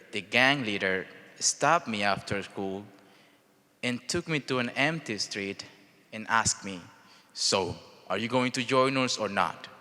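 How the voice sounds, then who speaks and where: A young man from El Salvador with a Salvadoran Spanish accent gives a prepared personal speech in short, deliberate phrases. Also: lowish voice, bright, forceful tone. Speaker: speaker telling his life story at a charity event. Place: large event hall, speaking to an audience. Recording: reverberant large hall sound.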